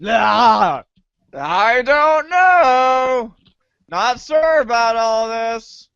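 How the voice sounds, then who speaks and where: A man plays an excitable, defensive teenage boy in an amateur audio play. The voice is high and bright and swoops all over, turning from hesitant to indignant. The sound is a bit dull and lo-fi. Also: extremely slow, creaky, clear, resonant tone, dry recording, full character voice. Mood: exasperated. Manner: exclamatory, swinging from hesitant to indignant. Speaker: voice actor. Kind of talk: amateur reader's theater acting.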